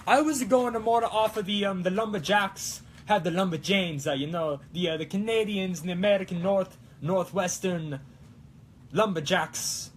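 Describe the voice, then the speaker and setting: Man, high voice, put-on wavering Italian accent, casual rambling livestream host, playful theatrical delivery, light background noise. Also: normal speed. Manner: playful, theatrical, peppered with mock-Italian exclamations. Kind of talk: casual rambling livestream chat while crafting.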